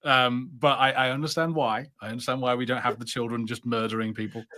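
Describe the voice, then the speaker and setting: A man's voice: medium pitch, steady tone, fast, sharp tone. A man co-hosting a casual review podcast, musing conversationally and a little hesitantly.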